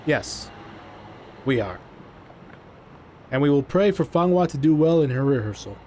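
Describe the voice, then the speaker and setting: A man's voice: fairly high pitch, lively intonation, unhurried, warm tone, a little hiss or hum. A man playing a suave, commanding magician in an audio drama. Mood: calm, encouraging. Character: suave, composed, commanding male magician named Rao.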